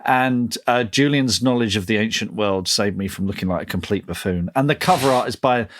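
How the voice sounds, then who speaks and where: A middle-aged British man chatting casually as a podcast co-host, with a self-deprecating, jokey, animated storytelling manner. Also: on the low side, brisk, slight background noise. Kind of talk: casual chat.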